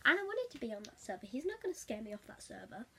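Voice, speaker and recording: A woman chatting casually as she tells a story in an animated, giggly way. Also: fairly high pitch, fast talker, creaky, slight background noise. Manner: animated, giggly, acts out quotes. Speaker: vlogger telling a story.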